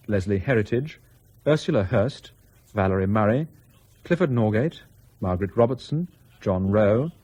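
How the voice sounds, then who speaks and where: A man reading scripted closing credits for a radio drama in a formal, measured manner. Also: medium pitch, darkish tone, breathy edge.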